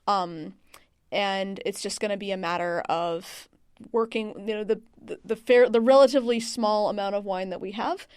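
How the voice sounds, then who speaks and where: Clear-voiced woman chatting as interview guest. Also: mid-range voice, moderate pace, a little mellow, no echo, clean studio sound. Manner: chatty, rambling, frequent "like" and "you know". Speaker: interview guest, wine region marketing manager. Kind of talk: casual conversational interview answers.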